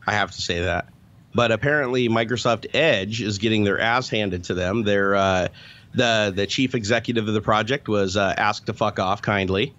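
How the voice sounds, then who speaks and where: A man's voice: lowish voice, moderate pace, fairly bright tone. A man co-hosting a casual chat over a video call, talking in a profane, rambling way. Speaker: podcast co-host, former software tester. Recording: dull lo-fi call audio.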